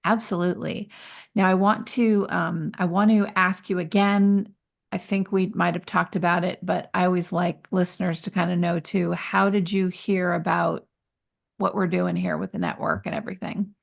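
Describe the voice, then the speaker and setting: A woman hosting a podcast interview, chatty and quick with asides, laughing easily. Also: on the low side, gravelly. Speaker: podcast host. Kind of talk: casual interview chat.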